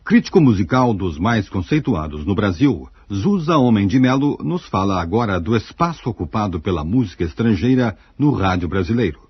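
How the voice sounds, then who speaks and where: A man's voice: fairly low pitch, big pitch swings, normal speed, few pauses, breathy edge, dry recording, muffled recording. A man with a Brazilian accent reading scripted radio documentary narration.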